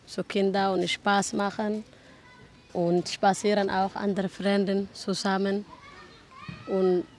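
A woman with a non-native German accent speaks slowly and evenly in a street interview. Her sentences are simple and halting, at an average pitch. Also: little pitch movement, darkish tone. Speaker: garden show visitor interviewed.

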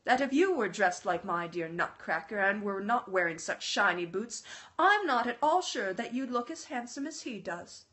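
A woman's voice: medium pitch, lively intonation, moderate pace, a bit bright, lightly acted. A woman reading aloud, voicing a tender-hearted little girl who pleads tearfully. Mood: grave.

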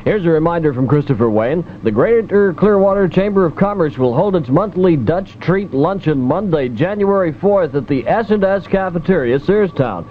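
A man's voice: fairly high pitch, lively intonation, normal speed, hardly any pauses, a little hiss or hum. A man working as a radio DJ, delivering upbeat early-seventies top 40 patter. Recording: dull old radio aircheck over music. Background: loud pop music.

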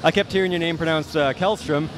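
A man's voice: a little high, steady tone, quick pace, crowd noise. A young man hosting an interview at an expo booth, chatty and long-winded, repeating himself while holding a handheld mic. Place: busy expo hall booth. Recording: noisy trade show floor.

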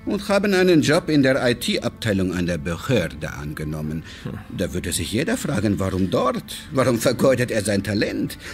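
An actor playing a suave, fatherly and controlling businessman in a radio crime drama, speaking deliberately and courteously with veiled menace. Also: on the low side, huge pitch swings, faint music behind. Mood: knowing, controlled.

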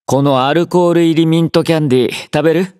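A man voicing an aloof, laid-back young soldier in an anime-style game, quietly caring, with dry, understated teasing. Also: on the high side, steady tone, quick pace, no echo, close to an everyday voice. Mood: calm, wry. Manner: languid, dryly teasing, understated. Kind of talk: Japanese anime-style game character voice lines.

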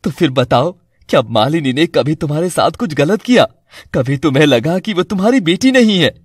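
Casting: concerned cartoon dad, played by a man, protective, persuasive, husky, close dry sound. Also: a little high, expressive, moderate pace, no room sound. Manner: earnest, persuasive. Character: earnest, protective Indian husband and father.

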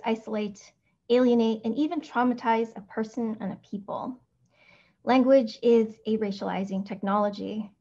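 A woman in her thirties who grew up in the Philippines, with Tagalog as her first language, reading a prepared conference paper in a measured, deliberate way over a video call from home. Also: on the high side, mellow. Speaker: academic speaker. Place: home room, indoors. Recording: slightly dull video call audio.

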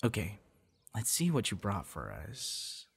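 A young man playing a playful, affectionate boyfriend in an ASMR audio roleplay, with a teasing, encouraging, slightly halting delivery. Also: fairly low pitch, huge pitch swings, gravelly, slightly rough, heavily acted. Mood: relaxed. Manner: teasing, encouraging, halting with soft laughs and gasps. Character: playful, affectionate young male voice actor on a date.

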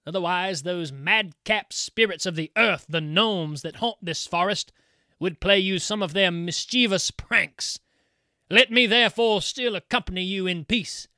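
A man's voice: sits high, measured pace, bright, forceful tone, dry recording, studio quality, exaggerated voice. Kuhleborn, a man doing a sly water spirit. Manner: teasing, grandly self-important.

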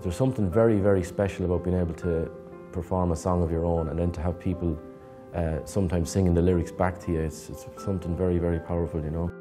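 A man's voice: low voice, steady tone, fast, hardly any pauses. A man in his thirties, a music educator speaking earnestly in a studio interview. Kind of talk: interview answers. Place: studio with plain backdrop.

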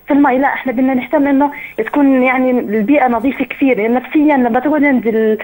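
A Jordanian woman in her twenties calls in to a live show over a phone line. She talks very fast, with a slightly high voice. She rambles earnestly, filling pauses with fillers. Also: fairly even intonation, a little mellow. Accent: Jordanian Arabic. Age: 20s. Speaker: caller. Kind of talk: phone-in call.

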